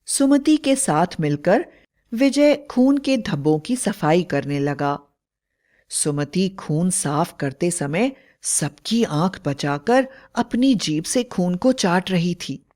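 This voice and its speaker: A woman's voice: fairly low pitch, animated, slow, dark tone. A woman narrating a scripted horror fairy tale in a dramatic storytelling style.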